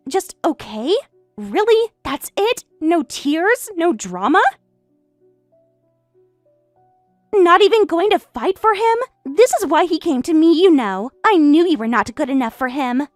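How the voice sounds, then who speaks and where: A woman's voice: sits high, big pitch swings, measured pace, dry recording, soft background music. A woman voicing a smug, catty young woman who stole her best friend's husband, taunting and boastful in a voiced story drama.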